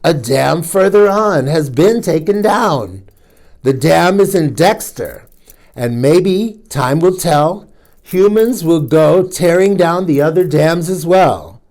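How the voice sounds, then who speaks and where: Chirpy cheerful kingfisher bird in a storybook, rhyming sing-song delivery, bright and a touch higher, as a male reader puts him on. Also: animated, slow pace.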